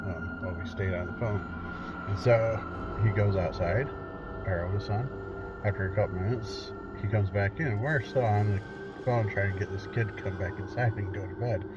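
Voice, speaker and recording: Middle-aged man storytelling over loud music. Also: fairly low pitch. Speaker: paranormal investigator telling his own story. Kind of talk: casual spooky storytelling. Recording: dull lo-fi sound under loud music.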